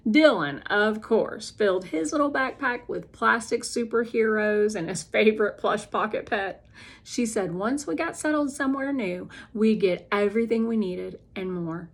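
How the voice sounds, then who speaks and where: A woman narrating a children's novel as an expressive, deliberate read-aloud. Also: mid-range voice.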